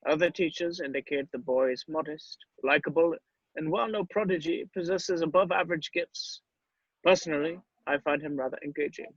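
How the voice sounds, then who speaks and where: A man playing a grave, shrewd old wizard headmaster in a screenplay table read, speaking in a measured, deliberate way. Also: a little high, husky, no room sound, muffled recording. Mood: calm, wry.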